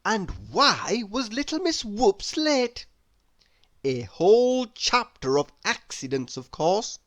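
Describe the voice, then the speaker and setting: Woman reading a children's story, lively pitch. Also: no room sound, studio quality. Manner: deliberate, theatrical, with playful exclamations. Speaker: read-aloud storyteller. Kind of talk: expressive children's picture book narration.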